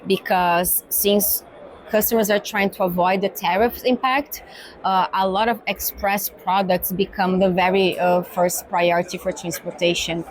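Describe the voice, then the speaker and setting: A woman with a Brazilian accent talks through her answers at a medium pitch, pausing on "uh" and "like" as she thinks. She checks in with a tag "right?" after her points. It is a relaxed, earnest interview at a trade exhibition. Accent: Brazilian Portuguese. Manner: thoughtful, many fillers and tag "right?". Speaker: guest, pharma cargo executive. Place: exhibition hall.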